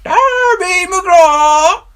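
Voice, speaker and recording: A man voicing the thin, trembling, drawn-out wail of a dead pirate captain in a storybook reading. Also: extremely high pitch, animated, fairly bright tone, pitched above the performer's own voice, exaggerated voice. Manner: drawn-out wailing, sung or hailed.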